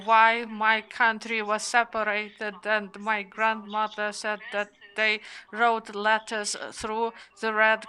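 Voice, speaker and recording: A woman in her thirties whose first language is Romanian speaks at a press conference. Her delivery is slow and halting, full of pauses and fillers, and her voice is bright and forward with a slightly higher pitch. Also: clean tone, rough recording. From Ukraine. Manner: halting, many hesitations. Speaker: panel speaker.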